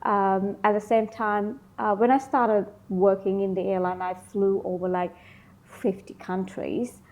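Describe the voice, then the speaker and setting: A woman from Sri Lanka, in her thirties, answering interview questions casually, often pausing with ums and likes. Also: mid-range voice, little pitch movement, a little mellow. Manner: hesitant, many ums and likes. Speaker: interview guest, beauty business founder.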